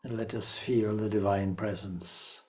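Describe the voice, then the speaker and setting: Slow, low-voiced man guiding meditation. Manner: calm, measured, with long pauses. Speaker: meditation teacher, swami. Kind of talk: guided meditation.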